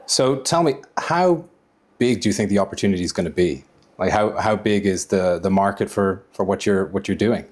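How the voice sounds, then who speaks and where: A man's voice: low-pitched, animated, moderate pace. A man hosting a podcast, presenting and asking questions in a polite, encouraging manner. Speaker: podcast host, interviewer.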